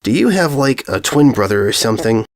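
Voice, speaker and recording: A man's voice: medium pitch, big pitch swings, a little fast. A man voicing a jealous, easily flustered young female pony who stammers and turns sarcastic in a story. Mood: awkward probing.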